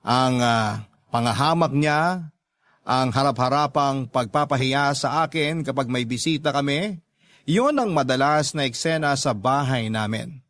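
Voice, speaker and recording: A man reading first-person scripted radio drama narration, earnest and confiding, with hesitant pauses. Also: medium pitch, a bit bright, no room sound, natural voice.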